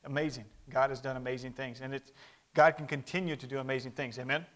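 A man's voice: medium pitch, fairly even intonation, fast talker, fairly bright tone. A man in his thirties who grew up in Brazil, speaking Portuguese first, giving a conversational missionary report to a church and calling for amens. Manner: conversational, with calls for amen.

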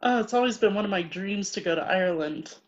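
A woman's voice: medium pitch, fast talker. Eager woman podcast host, lo-fi sound. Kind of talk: casual interview chat. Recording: dull lo-fi audio.

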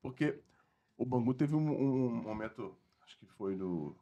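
Lively male podcast host. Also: medium pitch, measured pace, highs cut off. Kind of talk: casual chat interview.

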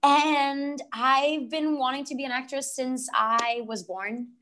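A young woman from Rico, telling her story as a guest in a lively, rambling way with lots of "like". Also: high-pitched, slow pace. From Puerto Rico. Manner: animated, rambling storyteller, frequent "like". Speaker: guest actress. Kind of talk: casual interview storytelling.

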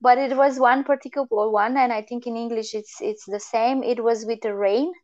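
A young woman from Romania with a Romanian accent, speaking English as a second language as a guest in a casual conversation, chatty and quick to laugh. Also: on the high side, steady tone, a little mellow. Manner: chatty, laughs often, many restarts. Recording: dull lo-fi audio.

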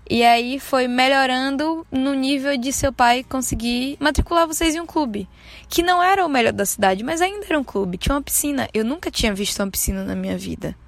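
Young Bahian woman with a gravelly voice. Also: high voice, lively intonation, fairly quick, no room sound. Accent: Bahian Brazilian Portuguese. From Salvador, Bahia, Brazil. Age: 20s. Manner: casual, conversational, self-deprecating. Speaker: podcast host. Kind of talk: personal storytelling podcast.

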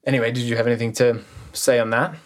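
A man's voice: mid-range voice, level tone, quick pace. Earnest man talking mindset on a podcast. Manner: earnest, motivational, asks the other host questions. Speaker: podcast co-host. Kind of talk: casual podcast chat.

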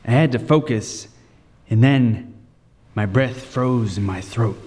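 A man's voice: mid-range voice, slow pace, a little mellow. A man acting a suspenseful, reverberant lighthouse-keeper narrator. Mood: hushed dread. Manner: vivid, suspenseful first-person storytelling. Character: tense male lighthouse keeper recounting his own harrowing tale. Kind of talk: 1940s-style radio drama narration and acting. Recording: long reverb with music underneath.

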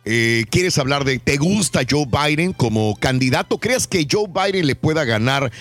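A man's voice: a little high, animated, normal speed, fairly bright tone, dry recording. A man hosting a casual radio talk show, chatting with co-hosts and inviting listener calls. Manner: chatty, asks listeners questions, reads phone number.

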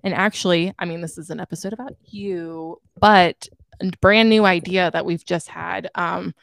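A woman of thirty co-hosting a podcast, chatting casually and encouraging her guest with quick affirmations. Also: fairly low pitch, steady tone, gravelly, a bit bright, dry recording. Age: 30s. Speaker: podcast co-host.

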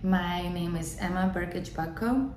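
A woman's voice: fairly low pitch, measured pace, darkish tone. A thirty-year-old woman from Bosnia and Herzegovina with a Bosnian accent, hosting a solo podcast and telling her own story conversationally, repeating words for emphasis. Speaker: podcast host, tennis coach. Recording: roomy echo with clear background noise.

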